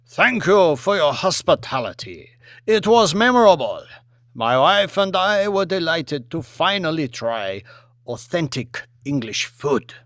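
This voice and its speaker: A man voicing a polite, effusive Chinese premier in an audiobook, speaking with halting, stilted courtesy. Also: a little high, big pitch swings, fairly bright tone, no echo, studio quality. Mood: courteous. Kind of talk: audiobook character acting.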